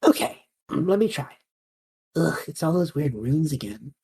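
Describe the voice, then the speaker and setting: A woman's voice: sits low, animated, unhurried, dark tone, slightly rough, full character voice. A young woman playing a cheeky, easygoing, adventurous male student in a fantasy audio drama, with a breezy, quippy delivery. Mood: casual, teasing.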